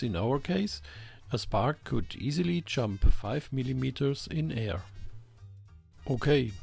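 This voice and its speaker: A man narrates a scripted technical explainer in a methodical way. His pitch is average and his delivery is slow, with a noticeable gravelly fry in the voice. Soft music plays faintly underneath. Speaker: host of a ham radio electronics channel.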